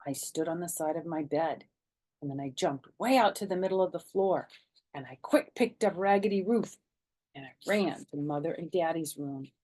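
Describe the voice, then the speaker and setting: A woman reading a children's chapter book aloud as a storytime narrator, animated and dramatic in her telling. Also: sits low, moderate pace.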